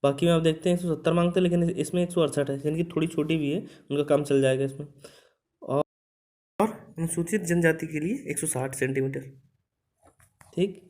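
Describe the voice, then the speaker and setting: Guy doing an exam coaching explainer, slightly high voice but relaxed and mellow, reads stuff out then explains it casually. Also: brisk. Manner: conversational, checks in with repeated short tag words. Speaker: exam coaching instructor and channel host. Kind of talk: informal explanatory lesson, reading out a recruitment notice.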